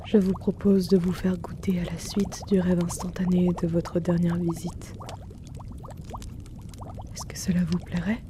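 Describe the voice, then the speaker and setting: A woman voicing a timid, gentle male herbalist in an ASMR roleplay, speaking softly and soothingly. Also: lowish voice, flat delivery, noisy background, close to an everyday voice. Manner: soft-spoken, slow and soothing. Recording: rain and storm ambience, pouring liquid.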